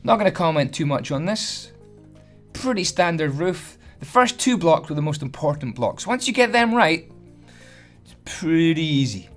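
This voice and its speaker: A hoarse man, chatty and self-deprecating as he gives a casual building tutorial voice-over. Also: on the high side, sing-song, normal speed, a bit bright, faint music behind. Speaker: Minecraft building tutorial host.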